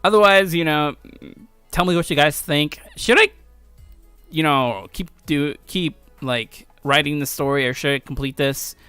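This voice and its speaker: A man's voice: fairly high pitch, unhurried, bright, forceful tone, clear, resonant tone, no echo, faint music behind. A man giving casual, slangy commentary as a game developer playing through his own game. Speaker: video creator and game developer.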